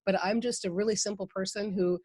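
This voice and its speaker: A woman's voice: medium pitch, fairly even intonation, fast talker, a little mellow, no room sound. Upbeat minnesota lady on a podcast, laughs a lot, mid voice, dry sound. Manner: enthusiastic, laughs often, plainspoken. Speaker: guest, mindset coach. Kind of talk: podcast interview.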